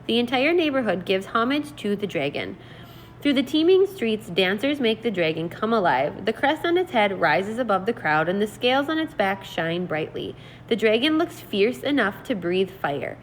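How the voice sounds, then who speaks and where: A woman teacher reading aloud and explaining in an expressive, deliberate, instructional way. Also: medium pitch, a little hiss or hum, poor audio. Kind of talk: read-aloud lesson, narrating and explaining vocabulary.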